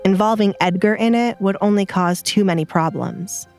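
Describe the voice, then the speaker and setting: Female narrator for serialized audio drama, mid-range pitch, scripted storytelling, music bed underneath. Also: normal speed, a little mellow.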